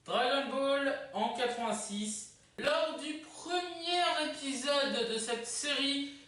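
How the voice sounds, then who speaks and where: Countdown host, chatty n halting, bright voice, really echoey and kinda far from the mic. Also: sits high. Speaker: host of a countdown video channel. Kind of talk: casual presenting of a ranking list to camera. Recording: echoey room, distant mic.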